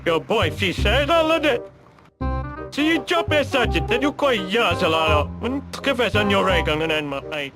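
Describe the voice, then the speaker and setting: A man doing a loud, accusing cartoon youth. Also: high voice, big pitch swings, unhurried, over music.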